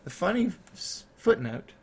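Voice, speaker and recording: A man in his thirties hosting a casual podcast chat, animated and quick to laugh as he tells sports trivia. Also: high voice, breathy edge. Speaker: podcast host.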